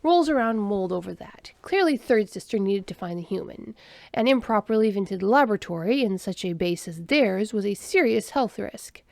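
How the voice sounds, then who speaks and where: Gravelly, expressive woman narrating a story. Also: mid-range voice, normal speed, no echo. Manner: expressive storyteller voicing every character. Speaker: audiobook narrator.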